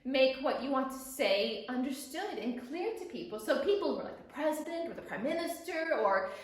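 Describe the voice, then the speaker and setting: Animated woman teaching in an echoey room. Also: high voice, normal speed. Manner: expressive, playfully emphatic. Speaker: guest storyteller and children's teacher. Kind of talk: explanatory chat for children.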